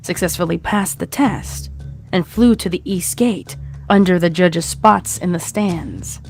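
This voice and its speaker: A woman's voice: lowish voice, unhurried, gravelly, darkish tone, soft background music. A woman reading scripted fiction as a narrator, in a dramatic storytelling style.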